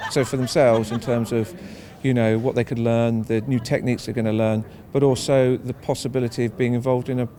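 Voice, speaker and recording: A man's voice: medium pitch, mellow, long reverb tail. A man speaking reflectively in a documentary interview, rephrasing as he goes.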